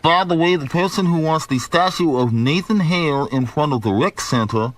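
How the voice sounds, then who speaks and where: A man who is a radio show regular, tossing deadpan wisecracks into casual banter. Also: on the high side, moderate pace.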